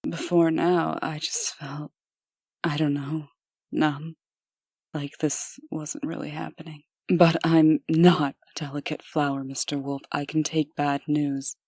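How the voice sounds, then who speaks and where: Casting: actress as dignified woman in authority, low register, earnest and firm, for comic drama. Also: level tone, slow pace, darkish tone, no room sound, clean studio sound, exaggerated voice. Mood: composed, resolute. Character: proud, composed woman official, anxious for her sister. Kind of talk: comic dub dramatic acting.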